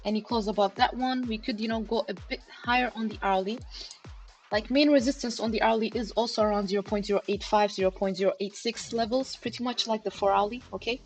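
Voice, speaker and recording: A woman's voice: mid-range voice, steady tone, fast talker. A woman hosting a live market analysis stream, talking casually to her chat with lots of fillers like "you know" and "okay". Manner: chatty, many fillers, addresses chat directly. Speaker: crypto chart analysis livestream host. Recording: livestream with faint background music. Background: faint background music.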